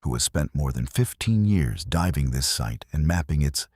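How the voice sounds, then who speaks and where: A man reading a scripted sleep meditation narration in a solemn, unhurried, soothing way. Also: very deep voice, lively intonation, darkish tone, dry recording, studio quality.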